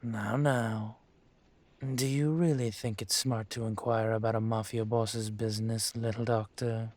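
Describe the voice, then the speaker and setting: A man playing an aging dragon mafia boss in a roleplay audio drama, speaking in a smooth, deliberate, courtly manner with veiled menace. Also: on the low side, flat delivery, darkish tone, slight background noise, lightly acted. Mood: quietly threatening. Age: older.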